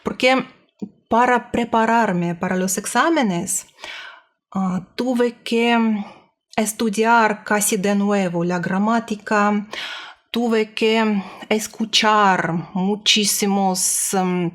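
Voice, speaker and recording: Slow, hesitant woman vlogger. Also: medium pitch. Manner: hesitant, nervous, searching for words. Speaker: language learner vlogger. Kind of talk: casual personal report to camera.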